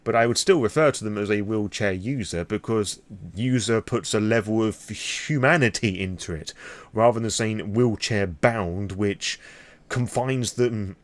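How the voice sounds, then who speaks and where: British male, fairly low voice, impromptu vlog ramble, blunt, sweary, emphatic delivery. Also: measured pace, dry recording. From Britain. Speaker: vlogger on roleplaying games. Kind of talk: impromptu opinionated personal ramble to viewers.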